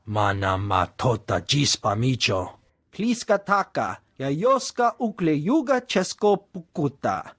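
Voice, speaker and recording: A male alien in a dramatic video game. He speaks a made-up tongue in a husky, medium-pitched voice, recorded close. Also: big pitch swings, unhurried, a bit bright, dry recording. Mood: insistent.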